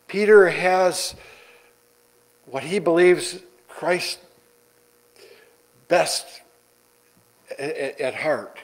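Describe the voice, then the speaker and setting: A middle-aged man preaching a sermon from the pulpit in a church sanctuary, deliberate and repeating words for emphasis. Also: a little high, lively intonation, poor audio. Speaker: pastor. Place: church sanctuary, large indoor room.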